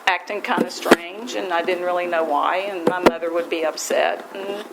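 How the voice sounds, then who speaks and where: A woman's voice: on the low side, fairly even intonation, moderate pace, heavy background noise. A woman from West Virginia with a West Virginian accent, giving a folksy, rambling personal recovery share full of restarts and self-deprecating asides. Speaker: speaker at a recovery meeting.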